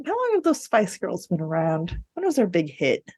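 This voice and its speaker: A woman's voice: lowish voice, wildly swooping pitch, normal speed, a little mellow, husky, no echo. A woman podcast host in a casual book discussion, speaking in a blunt, informal, sweary way.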